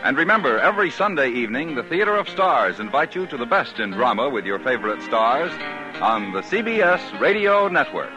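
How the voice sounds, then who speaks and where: A man's voice: mid-range voice, very animated, fairly quick. Male American radio announcer, 1950s style, formal and emphatic, very varied intonation, dated lo-fi broadcast sound. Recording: dull vintage radio, music behind.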